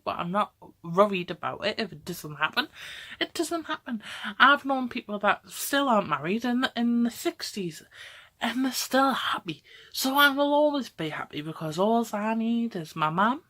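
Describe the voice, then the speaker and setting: A young woman in her twenties chatting straight to camera. Her voice sits low for a woman, and she rambles freely, tossing in quick "okay?" checks and little laughs as she goes. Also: fairly bright tone. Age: 20s. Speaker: vlogger. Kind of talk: casual talk to camera.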